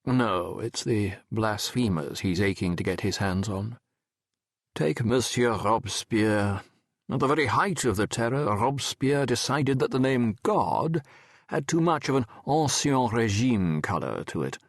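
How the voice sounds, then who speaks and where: A man narrating an audiobook as a wry, reflective French medical professor telling his memoir in a measured, erudite, wistful way. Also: mid-range voice, animated, no echo, studio quality. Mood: wry, musing. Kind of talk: scripted first-person literary narration.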